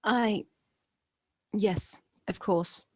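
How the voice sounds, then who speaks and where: A woman playing a timid, obedient young housemaid in a dramatic script read, soft-spoken, hesitant and deferential. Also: mid-range voice, very slow, breathy edge, no echo, clean studio sound. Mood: nervous, submissive.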